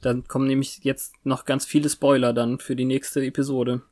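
A podcast co-host chatting casually about a TV series in a halting, spontaneous way. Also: mid-range voice, level tone, a little mellow.

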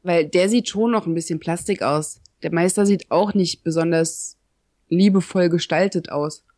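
A woman's voice: on the low side, moderate pace, a little mellow, no room sound, studio quality. A woman co-hosting a podcast, bantering casually and playfully about a TV series. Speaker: podcast co-host.

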